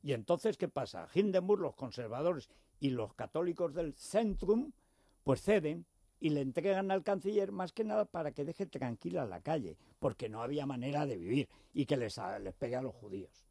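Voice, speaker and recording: A man's voice: on the high side, expressive, normal speed, creaky, dark tone, no echo, studio quality. A man with an accent from Spain, talking politics on a radio show in an emphatic, sarcastic, digressive way. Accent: Spanish from Spain. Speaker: radio talk show host. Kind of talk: impassioned political discussion.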